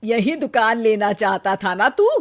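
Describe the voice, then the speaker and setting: Bossy shrewd mother-in-law, acted by a woman, firm and emphatic, fairly high voice. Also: unhurried, lightly acted. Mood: commanding. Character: shrewd, commanding mother-in-law of a young couple. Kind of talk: animated moral story dialogue.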